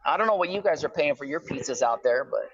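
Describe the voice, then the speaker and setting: A man's voice: a little high, expressive, quick pace, fairly bright tone. Middle-aged man on a dull phone line. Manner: conversational, explanatory, peppers talk with "right?" and "you know". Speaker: guest calling from prison. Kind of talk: casual phone conversation. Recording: slightly dull, lo-fi phone call.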